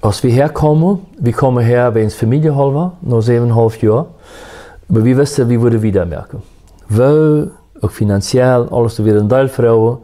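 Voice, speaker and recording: A man, a musician, telling his life story in a soft-spoken, reflective and faith-centered way. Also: medium pitch, normal speed. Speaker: musician guest.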